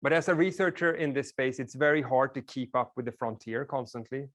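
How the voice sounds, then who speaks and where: A man's voice: medium pitch, a little fast, fairly bright tone. Swedish man lecturing casually. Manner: casual, explanatory, with filler "like". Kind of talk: classroom lecture.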